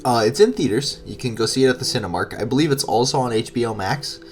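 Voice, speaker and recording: Man, nasal congested voice, mid pitch, podcast co-host, casual conversational delivery. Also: quick pace, darkish tone, slight background noise.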